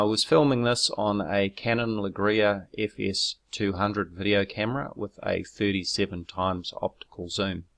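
A man talks through his own footage in a measured, explanatory way, pausing on ums and correcting himself. His voice sits very low with a gravelly fry, and he grows argumentative when answering critics. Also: fairly bright tone. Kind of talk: narrated screen walkthrough.

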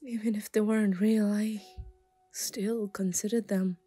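Woman acting obsessive yandere anime girl, soft whispery ASMR delivery, dark mellow tone, hesitant stammer, very slow, pleading. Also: medium pitch, steady tone, no echo, clean studio sound, soft background music, lightly acted. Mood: distressed, remorseful. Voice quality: soft, breathy, often whispering. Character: obsessive, self-aware yandere anime schoolgirl, shy and apologetic. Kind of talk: ASMR roleplay.

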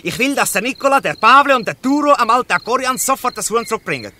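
A man's voice: extremely high pitch, expressive, fast, slight background noise, heavily acted. A man playing a brave, reproachful homeless boy in a Swiss German audio drama. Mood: indignant. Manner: reproachful, forceful. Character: indignant, brave homeless boy, new gang member. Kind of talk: dialect audio drama acting.

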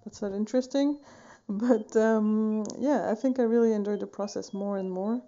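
Woman, 30s, from Switzerland, very mellow dark voice, relaxed rambling chat, fillers, home studio, phone camera. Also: medium pitch, moderate pace, dull audio. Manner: relaxed, rambling with many fillers and self-corrections. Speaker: painting vlogger. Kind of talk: casual tutorial chat. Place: home studio room, indoors, wooden shelves. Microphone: phone camera at desk distance.

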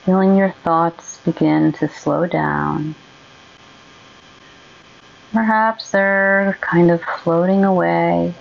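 Slow, low-voiced woman guiding meditation. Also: steady tone, creaky, dull audio. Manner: gentle, unhurried, with long pauses. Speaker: meditation guide. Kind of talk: guided meditation, soothing instruction.

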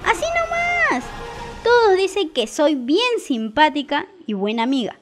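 Woman as coaxing, high-voiced temptress Marijuana. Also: big pitch swings, a little slow, over loud music. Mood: coaxing, chummy. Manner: coaxing, chummy. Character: seductive personified marijuana, female, coaxing false friend. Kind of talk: educational radio soap acting.

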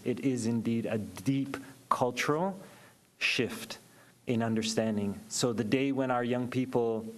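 Deliberate man making panel remarks. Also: medium pitch. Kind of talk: public panel discussion remarks.

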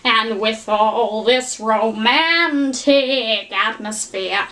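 A wisecracking cartoon meerkat guy, done by a woman, pitched high for a male. He is glum and theatrical and stretches out his words. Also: extremely slow, sharp tone, full character voice. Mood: theatrical singing. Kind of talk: cartoon character impression.

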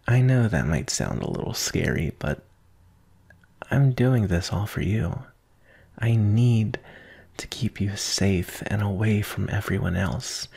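A man playing an obsessive, possessive, lovesick kidnapper in an ASMR roleplay, breathy and often near a whisper, speaking intensely and intimately. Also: on the low side, a little mellow, heavily acted. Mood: tender, obsessive. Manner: slow, intense, intimate, addressing a captive darling.

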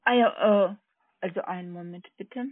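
A non-native speaking woman with a foreign accent, working as a call center agent, giving a halting, repetitive, polite sales pitch over the phone. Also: dry recording. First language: not German. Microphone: telephone. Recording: tinny, narrowband phone line.

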